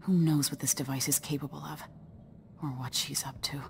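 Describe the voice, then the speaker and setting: Low-voiced, grave young woman. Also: steady tone, normal speed, vocal fry. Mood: resolute. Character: young exiled empress, grave, resolute, restrained. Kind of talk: video game narration and dialogue.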